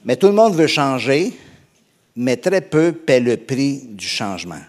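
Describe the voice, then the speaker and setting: A man from Canada with a Québécois accent delivers a sermon to his congregation. His voice sits at an ordinary pitch, and his delivery is conversational and halting, full of fillers and restarts. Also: sing-song, darkish tone. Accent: Québécois French. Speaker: pastor.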